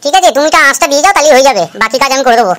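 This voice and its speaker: Woman voicing a high, quick, boastful cartoon potter. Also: lively intonation, fairly bright tone. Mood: brisk, boastful. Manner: hurried, self-assured. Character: brisk, boastful male village potter. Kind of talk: cartoon voice acting.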